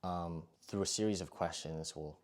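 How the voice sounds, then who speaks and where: A man's voice: low-pitched, steady tone, moderate pace. A young man interviewing a guest as a culture editor, asking long questions with many fillers and hesitations.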